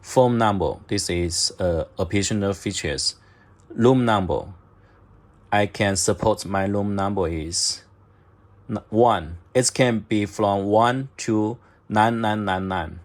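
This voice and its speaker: A man narrating step-by-step instructions in halting, short clipped phrases, as a product demonstrator. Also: fairly low pitch. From China.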